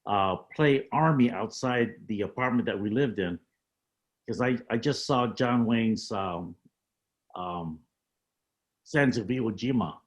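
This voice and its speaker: A man in his seventies, a panel guest on a video call, telling stories from his life in a measured, halting way with many fillers. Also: fairly low pitch, muffled recording. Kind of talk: reflective storytelling on a panel.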